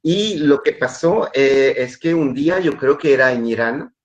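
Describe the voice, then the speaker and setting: A man's voice: mid-range voice, animated, a little slow. A man with a French accent giving a webinar talk in Spanish, hesitant and often repeating words, heard over a video call. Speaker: webinar speaker.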